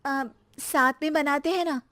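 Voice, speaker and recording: A woman voicing a gentle, patient daughter-in-law in a cartoon story, with a mild and polite manner. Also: sits high, fairly even intonation, a little slow, pitched above the performer's own voice. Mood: mildly defensive. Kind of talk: cartoon moral story character voice.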